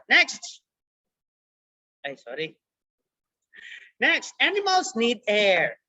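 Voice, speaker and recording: A woman teaching a young children's science class over a video call, repeating words slowly for the kids. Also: on the high side, bright, forceful tone. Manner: slow, repetitive, drawn-out words for children. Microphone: computer mic on a video call. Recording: dull lo-fi video call audio.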